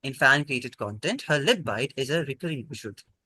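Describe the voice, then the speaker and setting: A man's voice: medium pitch, lively intonation, brisk, bright, forceful tone, breathy edge, dry recording. A man hosting a quiz, reading out prepared questions to contestants.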